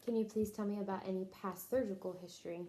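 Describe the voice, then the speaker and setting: A woman's voice: medium pitch, steady tone, fast, dark tone, slight background noise, low-quality recording. A woman interviewing someone for a health history, working methodically through prepared questions and briefly acknowledging answers. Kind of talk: scripted clinical questionnaire interview.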